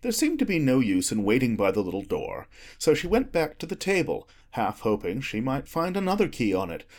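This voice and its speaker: A man narrating an audiobook in an expressive storytelling manner. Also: mid-range voice, brisk.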